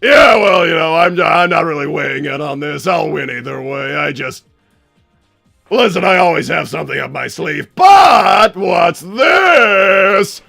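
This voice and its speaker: A man playing a flamboyant, excitable mad-scientist villain hosting a livestream, theatrical, exasperated and rambling, with a raspy voice. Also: on the high side, a little slow, no room sound, raised voice, studio quality, faint music behind, exaggerated voice. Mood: frantic. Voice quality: gravelly, raspy. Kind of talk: improvised in-character livestream hosting.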